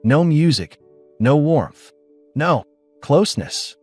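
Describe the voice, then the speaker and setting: Audiobook alien heroine, young woman, soft and low but direct, raised pitch; voiced by a male narrator. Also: expressive, slow pace, husky, no echo, faint music behind, heavily acted. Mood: soft, earnest.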